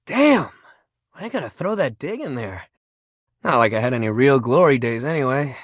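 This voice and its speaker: A man's voice: fairly high pitch, no echo, clean studio sound. An actor playing an upbeat, friendly but sensitive young male sports jock in a video game, talking in casual, emphatic bro speak. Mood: wistful. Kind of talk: video game character dialogue.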